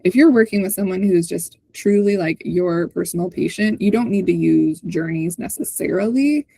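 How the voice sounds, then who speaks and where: Woman, mellow and dry-sounding, conversational presenter, informal explainer, checks in often. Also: on the low side, normal speed, creaky, breathy edge, dry recording. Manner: conversational, often ends points with a checking "right?". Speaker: host presenting on a community call. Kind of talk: informal software walkthrough and explanation. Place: online video call with screen sharing.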